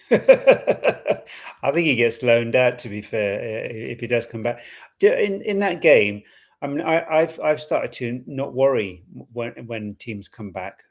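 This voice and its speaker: A man's voice: mid-range voice, lively intonation, slow pace. A man chatting casually as co-host of a football fan call-in. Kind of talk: casual chat.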